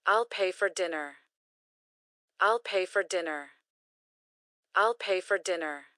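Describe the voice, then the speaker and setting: A woman reading short English phrases slowly and carefully, each one repeated for learners to practice. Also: lowish voice, bright, forceful tone, no room sound, clean studio sound. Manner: careful, clearly enunciated. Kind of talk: slow repeated phrase drills.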